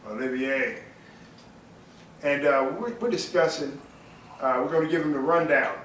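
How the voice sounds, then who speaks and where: A man's voice: on the high side, animated, unhurried, a bit bright, a little hiss or hum. Male podcast host, roomy distant sound. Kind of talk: casual podcast chat. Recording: echoey room, distant mic.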